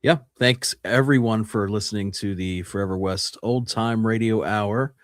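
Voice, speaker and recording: A man's voice: fairly low pitch, normal speed, no echo, studio quality. A man co-hosting a radio show, speaking casually and in short, laconic remarks. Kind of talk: casual chat and sign-off.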